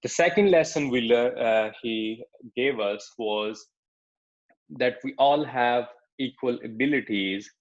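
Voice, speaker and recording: A man's voice: mid-range voice, slow pace, dull audio. A young man from Pakistan with a Pakistani accent, telling his personal story in a talk with slides, hesitant and full of fillers and restarts. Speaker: speaker giving a talk.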